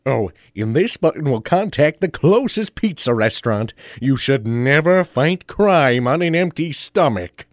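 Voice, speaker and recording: A man's voice: fairly high pitch, expressive, unhurried, few pauses, no room sound, studio quality. A man playing a good-natured, bumbling, self-important superhero in a comic audio drama, mock-heroic with a tendency to stammer. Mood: helpful, sentimental.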